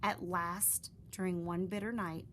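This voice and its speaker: A woman reading a children's fairy tale aloud in a gentle, expressive storytelling manner. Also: lowish voice, unhurried, a little hiss or hum.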